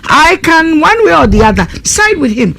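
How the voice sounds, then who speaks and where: Bright-voiced Ghanaian woman radio host. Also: high-pitched, sing-song, moderate pace, creaky. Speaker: radio talk show host. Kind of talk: questioning guests on a live radio show.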